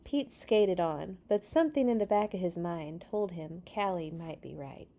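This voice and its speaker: A woman reading a picture book aloud to young children as a storytime host, with an expressive delivery that addresses them directly. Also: fairly low pitch, moderate pace, a little hiss or hum.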